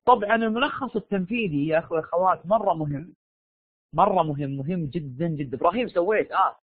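Male Saudi online lecturer, high-pitched and quick, tired strained voice, informal, repetitive, prodding. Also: expressive. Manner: informal, repeats phrases, prods listeners for answers. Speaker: university lecturer. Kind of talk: live online lecture, questioning students. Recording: dull lo-fi online audio, roomy echo.

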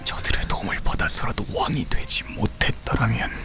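A man voicing a stern aging Joseon king confronting his son in a historical audio drama, hushed and breathy, breaking into strained cries. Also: brisk, whispered, natural voice. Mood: grave, hushed. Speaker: voice actor. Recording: with music underneath.

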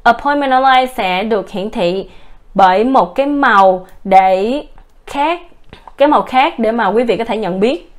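A woman with a fairly high voice narrates a software tutorial over a screen recording. She explains each step in order, in a formal, courteous way, and now and then restarts a phrase. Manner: formal, addresses viewers politely as quý vị. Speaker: software demonstrator.

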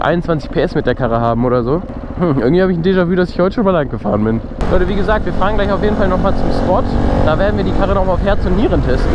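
A young man vlogging casually while riding a motorcycle, excited and slangy, talking straight to his viewers. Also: on the high side. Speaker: motovlogger. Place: outdoors on a motorcycle, city streets and open road. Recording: wind and engine noise.

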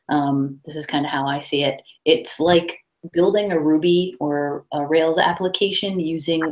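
Low-voiced woman giving a relaxed tech talk. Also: rough recording. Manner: relaxed, many fillers and restarts. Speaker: meetup presenter. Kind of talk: casual tech talk with slides. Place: presenting over a video call.

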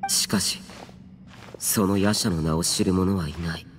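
A man's voice: sits low, steady tone, measured pace. A man acting in a Japanese game as a stern, aloof, youthful immortal warrior who speaks tersely and solemnly in archaic words. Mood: solemn, resolute. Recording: long reverb, faint music behind.

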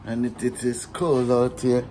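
A man's voice: medium pitch, flat delivery, measured pace, dark tone. A young man with a Caribbean accent chatting casually and slangily to his vlog, repeating catchphrases. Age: young adult. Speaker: vlogger. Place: hotel room and outdoor walkway. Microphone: phone at arm's length. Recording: handheld phone with faint background noise.

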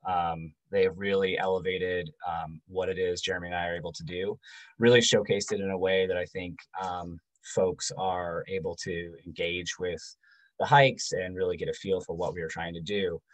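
A man in his forties giving a casual book talk over a video call, halting with many ums and restarts. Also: sits low, flat delivery, rough recording. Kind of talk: casual presentation. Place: home room.

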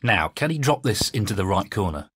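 A man's voice: on the low side, sing-song, a little fast, a bit bright, slightly rough, no room sound, clean studio sound, full character voice. A man voicing a keen, observant snooker commentator in a sports video game. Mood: measured, analytical.

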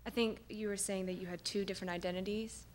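A woman's voice: medium pitch, fairly even intonation, quick pace, a little mellow, slight background noise. A woman in the audience asking a long, hedged question from the floor. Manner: rambling, hedged with fillers.

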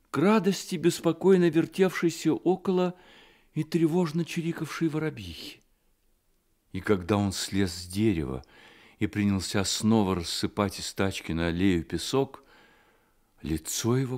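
A man narrating a literary radio drama as an expressive storyteller. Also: mid-range voice.

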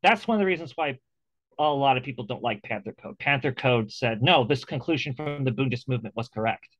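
A man's voice: mid-range voice, expressive, fairly quick, no room sound, low-quality recording. A man hosting an interview over a video call, talking casually and animatedly, with many restarts and fillers. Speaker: interview host.

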